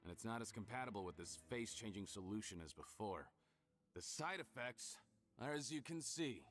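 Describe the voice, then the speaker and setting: A man's voice: lowish voice, animated, moderate pace, fairly bright tone. A man voicing a self-serving, cynical criminal schemer in a video game, sardonic and defensive. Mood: matter-of-fact.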